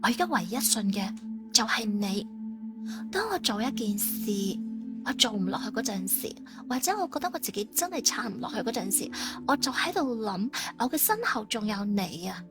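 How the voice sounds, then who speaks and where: A woman's voice: medium pitch, sing-song, moderate pace, over loud music, close to an everyday voice. A woman playing an earnest, determined young female agent in an audiobook, serious and insistent. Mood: earnest, pleading. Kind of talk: audiobook character acting.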